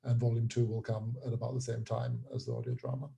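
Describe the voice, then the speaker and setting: Mellow-voiced male interview guest. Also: mid-range voice, level tone, fast talker, creaky, lo-fi sound. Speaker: interview guest, writer and creator. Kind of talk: casual interview chat.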